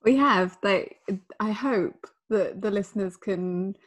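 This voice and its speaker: A chatty young woman with a mid-pitched voice, co-hosting a podcast. She talks casually into a studio microphone and throws in quick, good-humoured asides. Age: young adult. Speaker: podcast co-host. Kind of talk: casual comedic chat.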